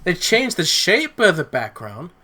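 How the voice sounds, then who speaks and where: A man co-hosting a casual podcast chat, thinking out loud as he explains. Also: high voice, wildly swooping pitch, unhurried.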